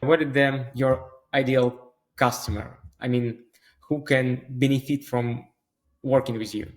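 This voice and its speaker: A man's voice: mid-range voice, flat delivery, unhurried. A man hosting a podcast, reading a scripted intro and asking his guest questions. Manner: reads intro from script, then asks questions with fillers. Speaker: podcast host.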